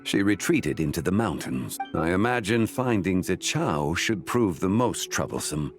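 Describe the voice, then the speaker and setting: A man playing a calm, learned ancient sage in a fantasy video game, speaking formally and deliberately in measured phrases. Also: faint music behind. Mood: solemn, explanatory. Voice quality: deep, measured. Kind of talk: scripted video game dialogue.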